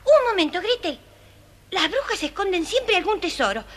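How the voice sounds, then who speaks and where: A woman's voice: extremely high pitch, sing-song, moderate pace. A woman voicing a plucky, crafty little boy with a bold, childlike manner on a children's storybook record. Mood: triumphant, eager. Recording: noisy old children's record with clicks.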